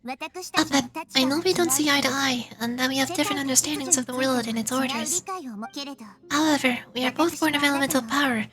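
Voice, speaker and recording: A woman voicing a calm, wise, earnest young nature goddess from a video game, in measured, formal speech. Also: high voice, crowd noise, lightly acted. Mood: earnest appeal.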